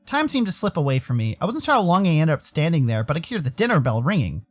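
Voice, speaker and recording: A man's voice: on the high side, big pitch swings, quick pace. An American man narrating a first-person story from a visual novel in a dramatised way. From Arizona, United States. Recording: music behind the voice. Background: music.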